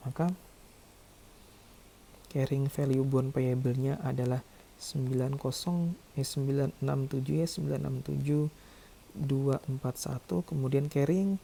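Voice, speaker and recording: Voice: mid-range voice, gravelly, dark tone, slight background noise, low-quality recording. A lecturer teaching in a tutorial style, talking through figures step by step with frequent check-in tags. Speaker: accounting lecturer. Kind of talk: tutorial narration, working through figures aloud.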